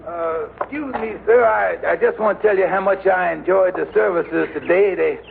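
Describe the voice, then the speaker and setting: A polite, earnest young worrier in a vintage radio sitcom. His voice is high and heavily creaky, with hesitant folksy phrasing. The recording is thin and narrow. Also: moderate pace, slight background noise. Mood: shy. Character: earnest, shy, worrying, good-natured young man. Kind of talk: old-time radio sitcom acting.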